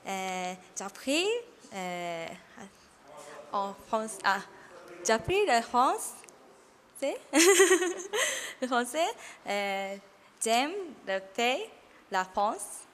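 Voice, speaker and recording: Shy japanese woman student, slow, faint noise and hall reverb. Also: fairly high pitch, very animated, fairly bright tone. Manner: shy, halting, laughs. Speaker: French student.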